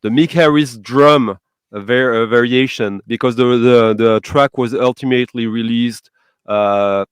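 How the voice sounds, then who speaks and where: A man's voice: medium pitch, measured pace, smooth, dry recording. A man hosting a casual music chat, enthusiastic and searching for his words, with English that is not his first language. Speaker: host.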